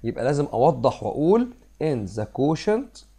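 A male math teacher with an Egyptian Arabic accent, slightly hoarse from a cold, working through a problem step by step. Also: mid-range voice, very animated, moderate pace, warm tone. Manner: explains step by step, mixing English math terms into Arabic. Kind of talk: screen-recorded math lesson. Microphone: voice-over on a screen recording.

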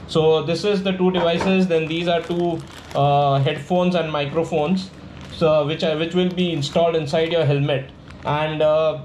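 A man with an Indian accent casually presenting a product demonstration, explaining in a halting, repetitive way. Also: on the high side, a little mellow. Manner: halting, explanatory, repeats himself. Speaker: vlogger presenting a product unboxing. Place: indoors at a tabletop. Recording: echoey room with faint background noise.

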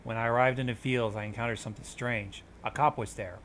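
A man's voice: medium pitch, steady tone, fast talker, fairly bright tone, slight background noise. A man hosting a reaction show, reading on-screen text aloud and adding commentary.

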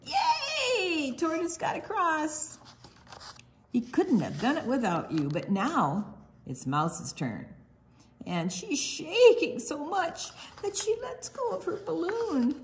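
A woman reads a picture book aloud to small children. Her pitch moves widely and expressively. She takes her time, stretching words out and dropping into hushed asides. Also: very animated, slow pace, a little hiss or hum. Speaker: children's storytime reader.

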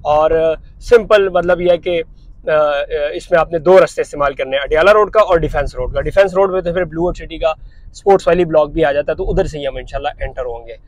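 A man in his thirties from Pakistan chats to viewers from the driver's seat, pointing out roads and plots as he goes. He talks like a friendly salesman, and his voice sits a little high for a man. The sound comes from a lapel mic inside a moving car. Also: fast, dry recording, rough recording. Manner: chatty salesman tone, addresses viewers directly. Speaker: property dealer vlogger. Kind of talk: driving tour commentary.

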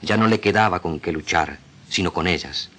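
Deep, forceful Argentine man reading solemnly. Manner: solemn, deliberate, declamatory. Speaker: radio drama actor reading literature. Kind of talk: dramatic literary reading for radio.

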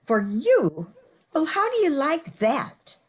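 An older woman playing a stubborn, exasperated newspaperwoman in a radio play, husky and theatrical, over a video call. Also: high voice, huge pitch swings, takes it slowly, exaggerated voice. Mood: indignant, scheming. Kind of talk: old-time radio play acting.

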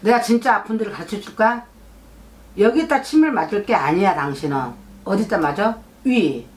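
A woman's voice: mid-range voice, lively intonation, moderate pace, a little mellow. A middle-aged woman, a shaman fortune teller, giving a client blunt advice and scolding her, and she keeps asking whether the client has understood. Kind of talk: fortune-telling consultation. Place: shrine office indoors.

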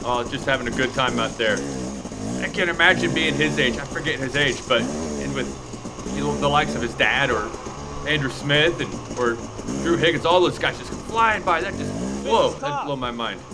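Excited man vlogging from a dirt bike. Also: medium pitch, normal speed, vocal fry. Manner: excited, rambling, cheering on riders. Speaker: motovlogger. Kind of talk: casual race motovlog commentary. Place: riding a dirt bike on a wooded trail, outdoors. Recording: helmet cam with loud engine noise.

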